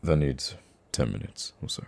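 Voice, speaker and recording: A man playing a gruff, teasing supervillain with a secret soft side in an intimate ASMR roleplay, dry and grumbling but affectionate. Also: moderate pace. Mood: soft, amused. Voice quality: very deep, gravelly.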